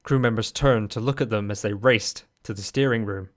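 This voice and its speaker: A man's voice: on the low side, normal speed. A man reading scripted third-person narration for an amateur audio drama.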